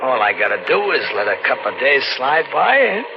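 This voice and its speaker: A man's voice: medium pitch, big pitch swings, fairly quick, gravelly. An actor playing a scrappy, broke young male drifter in an old-time radio drama, talking in slangy, colloquial style. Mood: breezy, slangy. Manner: colloquial, slangy hard-boiled narration. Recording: muffled vintage radio recording. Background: loud dramatic music.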